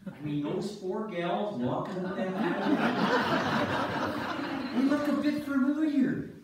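A man's voice: very high-pitched, takes it slowly. A man acting a chilled, grumbling ice fisherman who ribs his buddies in an amateur stage play reading. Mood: disbelieving, wry. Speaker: amateur reader's theater performer. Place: church sanctuary stage, filmed from the pews. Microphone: distant camera in the audience. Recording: echoey church hall from far off, faint noise.

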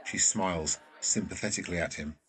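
A man's voice: deep voice, a little fast, fairly bright tone, some chatter behind. A man reading scripted stage directions as the narrator of a drama.

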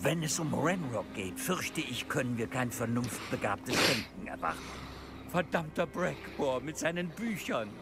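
A man's voice: medium pitch, expressive, natural voice. A man voicing a stern old goblin lord. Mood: grave. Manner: stern, measured, curt. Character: wary old male goblin lord, grave and proud. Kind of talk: German game dub. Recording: game audio with music behind.

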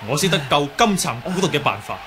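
An actor with a Hong Kong Cantonese accent playing a righteous, composed young martial-arts hero in a 1980s radio drama, speaking deliberately and accusingly. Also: male voice, a little high, big pitch swings. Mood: firm, controlled. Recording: faint hiss on old radio recording.

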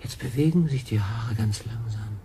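An actor playing a wry, ailing stranded sailor who talks to himself in a radio drama, with noise behind the voice. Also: male voice, on the low side, moderate pace, close to an everyday voice. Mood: wary, fascinated.

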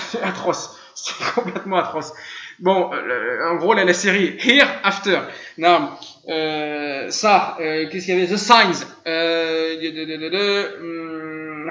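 High, bright-voiced man teaching with animated rhetoric. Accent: Arabic-tinged French. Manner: animated, rhetorical questions, frequent chuckles. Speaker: religious teacher. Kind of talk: live online religious lesson. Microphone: voice chat room. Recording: roomy, dull lo-fi voice-chat audio.

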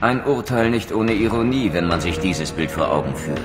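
A man's voice: low voice, lively intonation, moderate pace, music in the background. A man playing a brooding, proud undead wraith in a video game, speaking eloquently and theatrically with sardonic bitterness. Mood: sardonic, accusing. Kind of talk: dramatic game dialogue and scripted narration.

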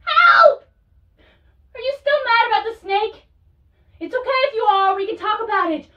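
A trapped young woman, very high and echoey. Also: expressive, moderate pace. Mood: pleading. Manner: pleading and joking. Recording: distant, echoey, muffled game audio.

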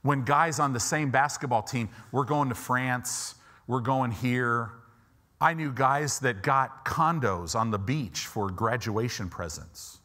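Male pastor, 50s, American, medium pitch. Conversational, emphatic preaching on a church stage with a lapel mic. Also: takes it slowly, bright, forceful tone. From United States, Chicago and Southern California. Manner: emphatic, repeats phrases for stress, rhetorical check-ins. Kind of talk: conversational sermon. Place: church auditorium stage, indoors.

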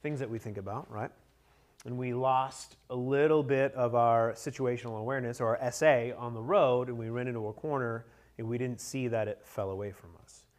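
A man in his thirties teaching a motorcycle cornering class in a conference room, energetic and joking, picked up by a camera across the room. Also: medium pitch, brisk. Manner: energetic, joking, talks with hands at a whiteboard. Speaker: riding coach. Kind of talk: classroom motorcycle clinic lecture. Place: conference room with a group around tables. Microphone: camera at the back of the room, no visible mic.

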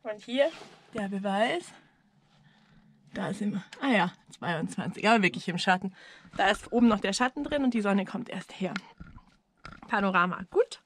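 A woman's voice: mid-range voice, expressive, normal speed, long pauses, a little hiss or hum. A woman in her thirties with an Austrian German accent, chatting casually and cheerfully to the camera as a ski vlogger, outdoors on a mountain hut terrace. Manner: chatty, upbeat, colloquial. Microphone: action camera held close.

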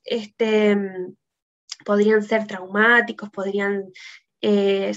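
A woman with a Uruguayan Spanish accent giving an informal slide presentation as a teacher, recorded indoors on a video call. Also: on the high side. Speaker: tarot teacher giving a conference talk. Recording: dull, lo-fi video call audio.

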